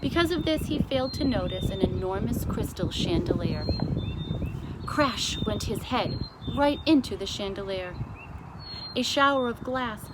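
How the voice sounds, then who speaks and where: A woman reading a children's storybook aloud as narrator, with expressive delivery. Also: mid-range voice, a little slow, very noisy. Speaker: storybook reader.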